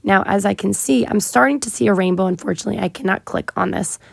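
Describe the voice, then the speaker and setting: A woman teacher, sick and hoarse, patiently walking her students through math homework step by step with frequent ums. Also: mid-range voice, quick pace, no echo. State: sick, partly lost voice. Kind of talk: recorded math lesson walkthrough.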